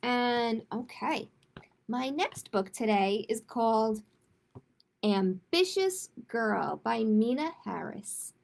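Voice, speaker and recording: A woman hosting a children's storytime, reading a picture book aloud and chatting casually, with an expressive, emphatic delivery. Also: fairly high pitch, takes it slowly, creaky.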